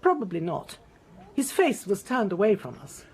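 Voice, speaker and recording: Imperious lady, husky and animated. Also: mid-range voice. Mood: brisk, disapproving. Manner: brisk, haughty, precise. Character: imperious, fussy titled English lady witness. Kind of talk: radio drama acting.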